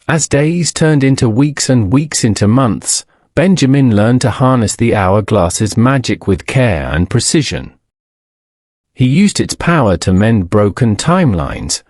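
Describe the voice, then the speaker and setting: A man reading a scripted bedtime story as a narrator, in a measured, solemn storybook manner. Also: mid-range voice, a little mellow, dry recording, clean studio sound.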